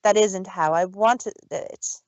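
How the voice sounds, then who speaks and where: Storybook villainess pony, played by a man: high-set, slow, domineering, gloating over her schemes. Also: smooth, no room sound, dull audio. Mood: bossy, angry. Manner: domineering, taunting.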